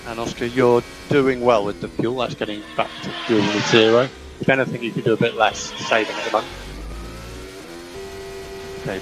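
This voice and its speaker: A man's voice: medium pitch, low-quality recording. A man working as a race engineer, giving clipped instructions over team radio to a driver and repeating key calls. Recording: team radio with music behind.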